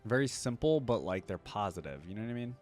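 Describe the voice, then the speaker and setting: A man's voice: lowish voice, big pitch swings, fast talker, a little mellow, soft background music. A man hosting a reaction video, giving casual commentary in an informal, musing way.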